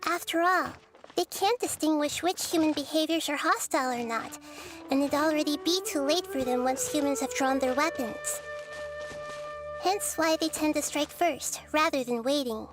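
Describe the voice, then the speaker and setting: A woman voicing a gentle, wise, young-looking girl goddess in a video game, speaking softly and thoughtfully. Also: high voice, moderate pace, music in the background, close to an everyday voice, poor audio. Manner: soft, thoughtful and reassuring.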